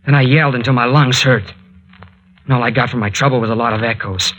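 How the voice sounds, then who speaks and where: Man playing an earnest young adventurer, bright, rough-edged voice. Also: medium pitch, fast, lightly acted. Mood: shaken. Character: earnest, excitable young adventurer, a loyal helper. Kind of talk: 1940s radio drama acting. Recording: vintage 1940s radio recording.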